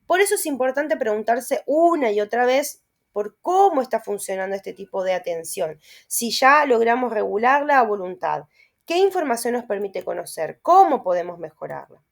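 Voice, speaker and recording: Young Argentine woman, Rioplatense accent, higher voice, reads class notes and checks in with listeners. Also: very animated, moderate pace, vocal fry. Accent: Rioplatense Spanish. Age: young adult. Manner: explanatory, with tag questions and self-corrections. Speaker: student teacher. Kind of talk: reading lecture notes aloud with asides.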